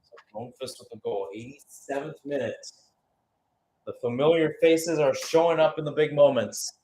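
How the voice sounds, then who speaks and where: A man's voice: on the high side, normal speed, mellow, poor audio. A man giving live radio-style play-by-play commentary on a football match, with exclamatory phrasing and rhetorical questions.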